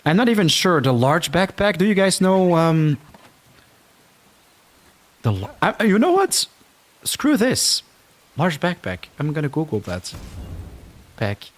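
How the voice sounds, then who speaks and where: A man's voice: a little high, sing-song, measured pace, pauses often. A man from the Netherlands with a Dutch-Flemish accent, chatting casually as he streams a game live, reacting to the action and reading viewer comments aloud.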